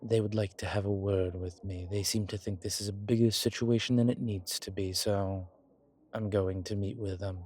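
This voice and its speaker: A man playing an ancient vampire commander in an intimate audio roleplay, speaking with formal, old-fashioned diction and a measured, haughty manner. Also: fairly low pitch, steady tone, darkish tone, lightly acted. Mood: dismissive, proud. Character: ancient male vampire commander, haughty yet tender to his companion. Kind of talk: intimate audio roleplay acting, one side of a conversation.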